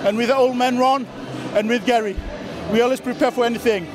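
A man's voice: extremely high pitch, steady tone, brisk. A man, a winning boxer, giving a confident, defiant post-fight interview at ringside, a little out of breath. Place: indoor sports hall at ringside. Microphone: interviewer's handheld mic. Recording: crowd and music in a hall.

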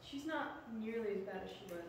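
A woman's voice: mid-range voice, animated, fairly quick. A woman in the congregation sharing a prayer request in a chatty, offhand way, inside a large church sanctuary. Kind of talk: casual spoken prayer request. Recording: echoey sanctuary from far off, noisy.

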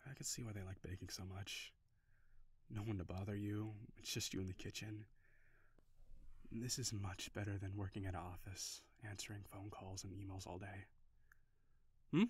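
A man playing an overworked office boyfriend in an audio roleplay. He sighs often, curses when frustrated and softens into affection. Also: fairly low pitch, flat delivery, normal speed, long pauses, husky. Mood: soft, affectionate. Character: stressed, overworked office boyfriend, gruff but tender. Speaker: voice actor.

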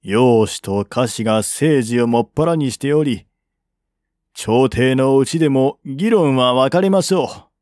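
A man's voice: on the high side, a little mellow, no echo, studio quality. A man voicing an earnest, persuasive envoy of ancient China in a historical audiobook, speaking formally and deferentially.

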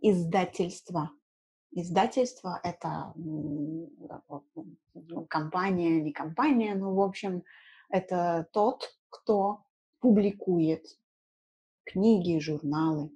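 A woman's voice: lowish voice, takes it slowly, mellow, breathy edge, low-quality recording. A woman in her thirties teaching an informal lesson in simple words, repeating key words and adding short check questions. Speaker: language teacher. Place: small room indoors at home.

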